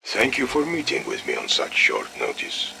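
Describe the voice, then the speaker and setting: An actor playing a stern, menacing military colonel, clipped and commanding, in a video game audio log. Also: male voice, on the low side, huge pitch swings, normal speed, creaky, sharp tone, slightly rough, heavily acted. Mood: measured, probing.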